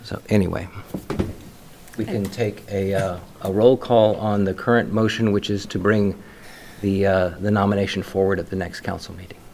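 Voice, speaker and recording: Low-voiced man chairing a council meeting. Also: fairly even intonation, creaky, darkish tone, a little hiss or hum. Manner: halting, with restarts and fillers.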